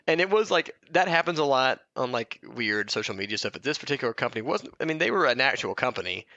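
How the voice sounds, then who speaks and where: A man's voice: medium pitch, sing-song, fast talker, sharp tone, dry recording, dull audio. A man co-hosting a loose comedy podcast, chatting in a wry, self-deprecating and casually crude way. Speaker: podcast co-host.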